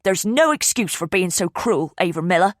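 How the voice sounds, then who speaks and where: A woman's voice: medium pitch, big pitch swings, brisk, dry recording, clean studio sound, pitched above the performer's own voice. A woman voicing an indignant middle-aged mother scolding a young woman.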